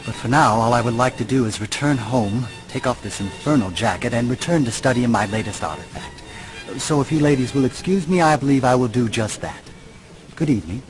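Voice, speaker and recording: An actor playing a stuffy occult enthusiast in a video game, with a dry, wordy, formal manner. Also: male voice, medium pitch, lively intonation, a little fast, close to an everyday voice, low-quality recording. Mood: dryly weary. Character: dry, stuffy man obsessed with the supernatural. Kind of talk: video game dialogue acting. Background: music.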